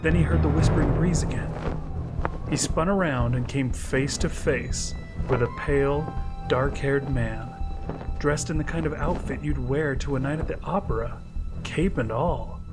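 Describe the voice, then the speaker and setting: A man's voice: mid-range voice, normal speed, rough recording. A man narrating a scripted short story in a suspenseful storytelling manner. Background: music.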